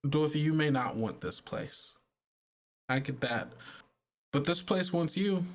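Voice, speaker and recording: A man voicing a gentle, persuasive woman in a tabletop game, speaking in a folksy, halting, consoling way. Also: medium pitch, close to an everyday voice.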